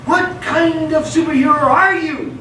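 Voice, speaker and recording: In a very echoey hall, a man acts out a seasoned supervillain with a very high, bright voice. He is exasperated and sarcastic, indignant in the theatrical way of comic radio theatre. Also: fairly even intonation, measured pace, full character voice. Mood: weary sarcasm. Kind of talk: stage radio theatre comedy acting. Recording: echoey hall, distant mic, faint noise.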